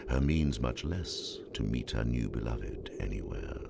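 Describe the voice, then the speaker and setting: An actor playing a solemn chorus in a Shakespearean audio play, with whispery, gravelly verse narration. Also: male voice, very deep voice, animated, unhurried, heavily acted. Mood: solemn, hushed. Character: solemn chorus narrating a tragic love story. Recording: audio drama with loud background noise.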